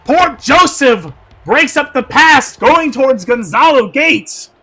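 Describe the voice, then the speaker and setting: Hoarse dude doing football play-by-play, high sharp voice, yells the big plays. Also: male voice, slow pace. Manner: swings from hushed asides to shouted excitement. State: going hoarse. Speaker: play-by-play commentator. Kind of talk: excited simulated football commentary.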